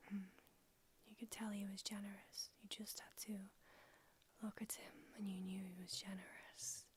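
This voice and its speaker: A woman whispering in an ASMR roleplay as an ancient, aloof female vampire doctor, unhurried and drily haughty. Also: mid-range voice, flat delivery, slight background noise, close to an everyday voice. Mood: languid haughty. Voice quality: whispered throughout. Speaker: ASMR creator.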